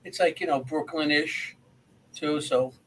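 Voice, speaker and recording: A man's voice: on the high side, steady tone, measured pace, a bit bright, a little hiss or hum, rough recording. A man from Queens with a New York accent co-hosting a casual chat, laid-back and wry. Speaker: podcast co-host.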